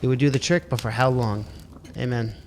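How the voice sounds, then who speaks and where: A man's voice: medium pitch, steady tone, fairly quick, a little hiss or hum. A man playing a video game, muttering, swearing at it and reading its text aloud. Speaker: let's play gamer. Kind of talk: casual let's play commentary, reading game text aloud.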